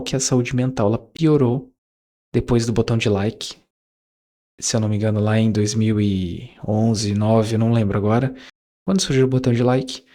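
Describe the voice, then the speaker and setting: A man's voice: mid-range voice, darkish tone. A man, talking casually to his viewers in a reflective monologue, rambling with fillers and self-corrections. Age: 20s. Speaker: YouTuber.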